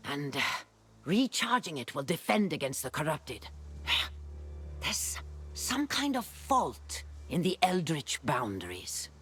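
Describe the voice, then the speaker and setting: An actor voicing a dry, haughty scholar of ancient ruins in video game quest dialogue, measured and faintly condescending. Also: mid-range voice, big pitch swings, fairly bright tone. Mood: pensive, explanatory.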